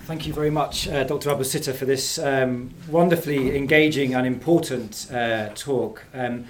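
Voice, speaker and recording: A man's voice: medium pitch, steady tone, moderate pace. Polite male moderator, off-mic. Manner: polite, slightly hesitant. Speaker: event moderator. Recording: distant mic with clear background noise.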